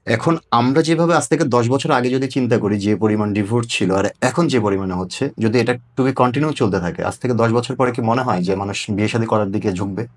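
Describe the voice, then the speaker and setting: A man's voice: mid-range voice, brisk, hardly any pauses. A man with a Bangladeshi accent interviewing someone in a conversational, explanatory way.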